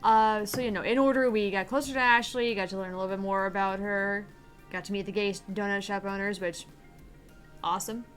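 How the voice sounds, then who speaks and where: A woman's voice: mid-range voice, fairly even intonation, a little fast, soft background music. A woman co-hosting a gaming stream, recapping the story in casual banter and swearing freely. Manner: profane, laughing, recaps the story loosely. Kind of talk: casual banter while playing a game.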